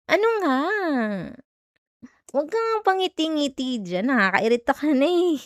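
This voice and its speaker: Voice actress doing a jealous young filipina girlfriend for asmr roleplay, high voice, super dry close sound, sulky and defensive. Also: no echo. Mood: flustered, curious. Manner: defensive, clipped, teasing Taglish.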